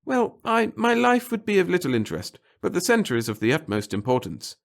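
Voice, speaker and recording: Fussy little male clerk, acted by a man, obsequious and pompous, pitched up high, sci-fi audiobook character with florid, babbling delivery. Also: sing-song, a little fast, vocal fry, heavily acted. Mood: pompous, devoted.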